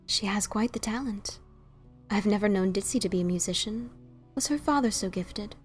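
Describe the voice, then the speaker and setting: Casting: woman as a wise, enigmatic female pony, measured and formal, medium pitch, soft music underneath, fan audio drama. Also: close to an everyday voice. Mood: thoughtful. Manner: formal, measured, gently probing. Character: calm, wise, mysterious female unicorn pony.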